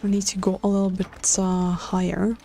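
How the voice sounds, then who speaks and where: A woman's voice: medium pitch, monotone, moderate pace, creaky, dark tone, soft background music. A woman from Latvia with a Latvian accent, chatting casually on a live stream while she builds in a game, hesitant and full of filler sounds and restarts.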